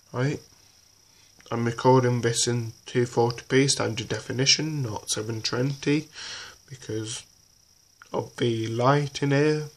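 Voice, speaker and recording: Slow-talking man, halting demonstrator. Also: mid-range voice, creaky. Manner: halting, repetitive, often checking with "right". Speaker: presenter of a tutorial. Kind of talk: informal hands-on demonstration. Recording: noisy homemade recording.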